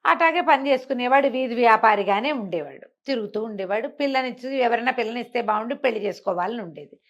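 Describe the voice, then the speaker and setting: Female oral storyteller, higher-pitched, conversational and explanatory, throws in rhetorical questions. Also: expressive, fast talker, muffled recording. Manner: explanatory, asks rhetorical questions as she narrates.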